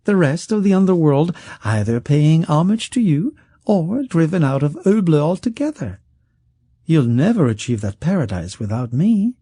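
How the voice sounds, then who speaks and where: A man's voice: a little high, sing-song, moderate pace, mellow, slightly rough, dry recording, studio quality, pitched above the performer's own voice. A man putting on a silky scheming rich wizard. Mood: coaxing. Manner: silky, wheedling, condescending.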